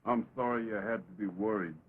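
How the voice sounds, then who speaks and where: An actor playing a reserved, honorable American cowboy, laconic and plain-spoken, in early western talkie style. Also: male voice, on the low side, brisk, husky. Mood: tender regret. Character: reserved, honorable cowboy reluctantly facing a gunfight. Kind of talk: early 1929 western talkie film acting. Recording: tinny, band-limited 1920s film soundtrack.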